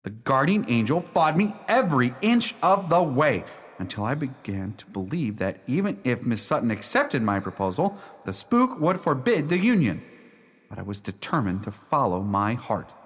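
A lovestruck young gentleman of a radio play, acted by a man, tells his own tale in formal, measured phrases. His mid-pitched voice is earnest and swells with long hall reverb. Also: big pitch swings, close to an everyday voice. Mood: determined. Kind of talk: radio drama narration, first person.

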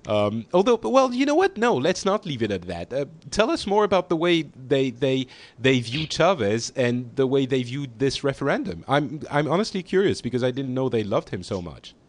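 A man's voice: mid-range voice, sing-song, moderate pace, hardly any pauses. A man hosting a casual group podcast, hesitant and prone to restarts and laughter. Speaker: podcast host.

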